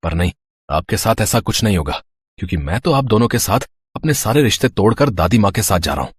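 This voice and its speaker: A man playing an earnest, indignant twenty-year-old student in a moral story, emotional and reproachful, with a husky voice. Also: on the low side, sing-song, fast, no room sound, heavily acted. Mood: hurt anger.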